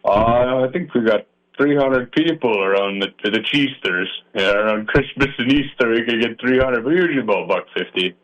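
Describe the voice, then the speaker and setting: A man's voice: mid-range voice, moderate pace, a bit bright. A man from northern Minnesota, a polka musician chatting casually in a radio interview, folksy and self-deprecating. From Iron Range, northern Minnesota. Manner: folksy, joking and self-deprecating, trailing off with fillers. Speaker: polka musician and accordion player. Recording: dull AM radio broadcast sound.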